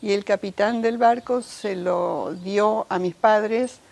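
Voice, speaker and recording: A woman's voice: mid-range voice, slow pace, creaky, darkish tone. An older woman born in Italy, giving a documentary testimony in a reminiscing, storytelling manner. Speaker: interviewee.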